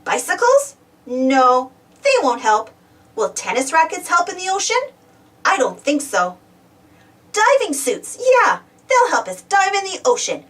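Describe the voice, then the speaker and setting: Female children's storybook reader, high voice, highly animated and exclamatory, recorded a little away from the mic. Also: a little slow, a little hiss or hum. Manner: animated, exclamatory, addresses young listeners with questions. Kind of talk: expressive children's read-aloud. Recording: distant from the mic.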